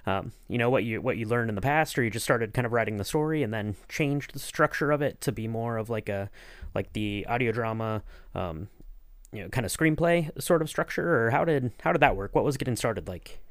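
A man's voice: mid-range voice, lively intonation, a little fast, no echo, clean studio sound. A man interviewing casually as a podcast host, with hesitant, rambling phrasing.

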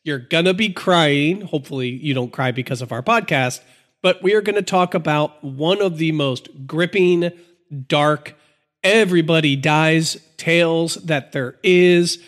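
An American man co-hosting a podcast in casual chat, sounding congested, a little nasal and gravelly from a sinus infection, with a cheeky, upbeat way of talking. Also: a little high, unhurried, fairly bright tone. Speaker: podcast co-host.

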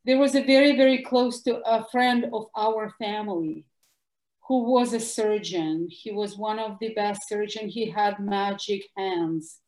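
A woman with a Russian accent, a guest doctor answering interview questions in an animated, emphatic way. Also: mid-range voice, measured pace. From former Soviet Union. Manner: animated, emphatic, bursts of louder words. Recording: echoey room.

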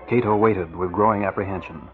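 A man narrates a vintage radio serial with measured drama. His voice is on the low side, with prominent music behind it, and the old recording sounds muffled. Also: fairly even intonation.

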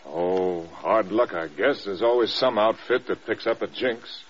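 An actor playing a frank, level-headed American air force staff officer in a radio drama, plain-spoken. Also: male voice, lowish voice, unhurried, close to an everyday voice. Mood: reluctant. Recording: dull, noisy old radio recording.

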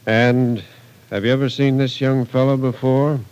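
An actor playing a stern, authoritative police captain, curt but fair, in an old-time radio crime drama. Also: male voice, medium pitch, level tone, a little mellow, clear, resonant tone, close to an everyday voice, low-quality recording. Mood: brisk, commanding. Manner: commanding, measured, curt.

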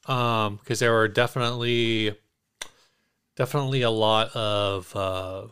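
A man's voice: lowish voice, fairly even intonation, measured pace, a bit bright, smooth. A man co-hosting a casual chat show, speaking hesitantly as he searches for words. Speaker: podcast co-host.

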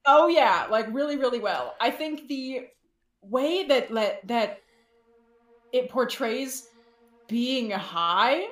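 A woman, a podcast guest, talking casually and frankly in halting, informal phrases. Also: on the high side, animated, fairly bright tone, reverberant. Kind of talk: casual chat.

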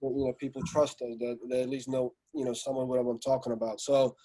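A man in his forties who grew up in Slovakia talks through his story as a podcast guest. His voice is mellow and dark, and his delivery stays flat and even. He rambles easily, with plenty of fillers. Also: medium pitch, a little fast. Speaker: guest, music entrepreneur. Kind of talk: casual podcast interview.

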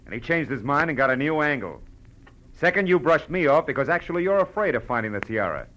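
An actor playing a tough, wry American private detective in 1940s radio style, clipped and wisecracking. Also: male voice, a little high, very animated, fast, a bit bright, a little hiss or hum, poor audio. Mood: tough, pressing. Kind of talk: 1940s radio detective acting and first-person narration. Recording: old radio drama with music behind.

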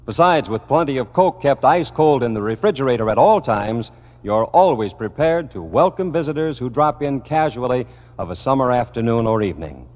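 A man's voice: mid-range voice, a little mellow. A man working as a radio announcer, delivering scripted sponsor copy and music introductions in a classic radio style. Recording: dull vintage radio, long hall reverb.

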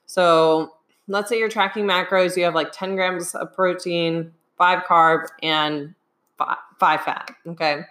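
Young adult woman, fitness coach, slightly low voice, hoarse from a sinus infection, checks in with "okay?" all the time. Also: fairly even intonation, takes it slowly, a bit bright. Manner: keeps asking "okay?". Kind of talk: live-streamed advice talk.

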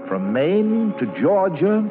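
An old radio drama recording with music behind it. an elderly actor looking back on his boyhood, unhurried and lyrical, his voice rising and falling expressively. His delivery is wistful and stagey. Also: high voice, very animated, phone-quality sound, exaggerated voice. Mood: wistful, reflective. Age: older. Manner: theatrical, measured, lyrical. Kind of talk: 1940s radio drama narration.

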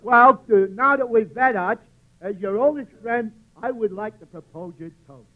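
Good-natured male dimwit regular, high voice, classic radio comedy, muffled recording with faint noise. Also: moderate pace. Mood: earnest, pleased. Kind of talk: old-time radio comedy acting. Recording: dull, noisy vintage radio recording.

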